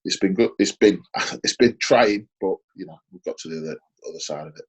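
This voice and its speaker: Sheffield guy in his 40s, low husky voice, lots of uhs and restarts, interview chat. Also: brisk. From Sheffield, England. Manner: hesitant, many fillers and restarts. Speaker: interview guest, former footballer. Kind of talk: casual interview answers.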